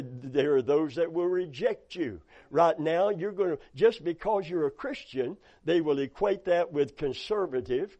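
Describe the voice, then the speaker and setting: Hoarse preacher man, folksy. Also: a little high, dark tone. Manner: folksy storytelling, exclamations of amen and hallelujah. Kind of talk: sermon. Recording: dull lo-fi sound.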